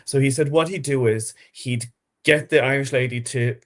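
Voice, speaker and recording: A man talks casually as a guest on a podcast. His voice sits at an average pitch, and his delivery is informal and conversational. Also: steady tone, normal speed. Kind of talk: casual chat, telling an anecdote.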